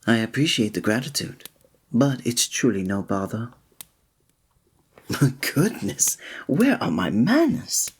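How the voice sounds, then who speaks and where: Breathy charming innkeeper guy, courtly and flirty, asmr roleplay, voiced by a man. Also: mid-range voice, wildly swooping pitch, takes it slowly, pauses often, heavily acted. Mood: welcoming.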